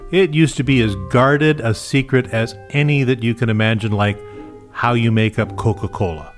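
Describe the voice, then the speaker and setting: A man's voice: medium pitch, fairly even intonation, normal speed. A man narrating a scripted science podcast as its host. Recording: music behind the voice.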